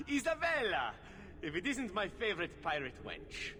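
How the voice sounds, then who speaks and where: A man's voice: high-pitched, huge pitch swings, measured pace, bright, forceful tone, big-room sound, slight background noise, exaggerated voice. A man playing a flirtatious, silky, playful elven assassin in a video game. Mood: playful, charming. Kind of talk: video game cutscene acting.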